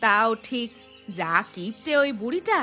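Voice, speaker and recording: A woman's voice: on the high side, big pitch swings, a little slow, sharp tone, heavily acted. A harried mother from a poor village, acted by a woman in a cartoon story. She nags and complains in a scolding way. Music plays behind her voice. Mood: weary, coaxing. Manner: nagging, complaining.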